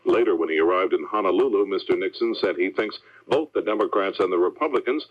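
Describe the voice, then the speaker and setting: Man, American, low voice, scripted newscast, network anchor, 1970s radio, dull AM sound. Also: fast. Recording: dull vintage AM radio recording.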